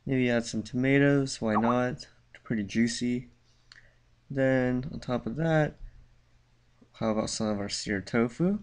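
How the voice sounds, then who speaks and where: A young man walks through a simple recipe in a loose, conversational way, dropping "kinda" and "like" as he goes. His voice sits in an ordinary middle register, and he sounds unhurried. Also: medium pitch. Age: young adult. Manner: laid-back, lots of "kinda" and "like". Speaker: cooking show host. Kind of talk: casual cooking tutorial. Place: cluttered room mid-move, indoors.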